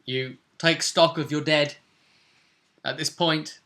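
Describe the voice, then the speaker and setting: A man with a bright, forceful voice hosts as game master. He recaps a tabletop session in a casual way. Key phrases get repeated for dramatic weight. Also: a little high, fairly even intonation, slow pace. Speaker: game master and vidcast host.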